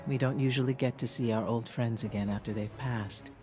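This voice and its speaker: A man's voice: medium pitch, fairly even intonation, fairly quick, over music, close to an everyday voice. A man playing a candid, loyal, thoughtful ally in video game dialogue, conversational and sincere. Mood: cautious gratitude.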